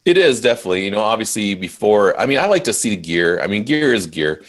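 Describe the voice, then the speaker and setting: A mobile DJ business owner, a man, talks shop in an easygoing live interview. His voice sits fairly low. He gets animated when he retells a story and raises his voice to quote people. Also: quick pace. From Minnesota, USA.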